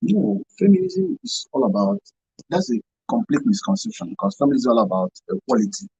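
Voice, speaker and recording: A man's voice: sits high, wildly swooping pitch, brisk, gravelly, a little mellow, husky, no echo, dull audio. A man with a Nigerian accent giving earnest, emphatic explanations as a guest in a radio studio interview. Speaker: radio show guest, NGO volunteer.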